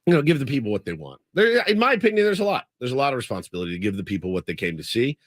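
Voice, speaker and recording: Animated male radio host telling a story. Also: mid-range voice, racing, dry recording. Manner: animated storyteller, acts out quotes. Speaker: radio sports talk host. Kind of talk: casual storytelling on air.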